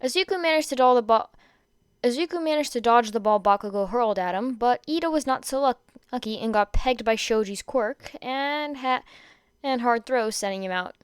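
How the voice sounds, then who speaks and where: A woman's voice: on the high side, expressive, moderate pace, clear, resonant tone, dry recording, clean studio sound. A woman reading fan fiction aloud as an amateur narrator, often stumbling and rereading phrases. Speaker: podfic reader.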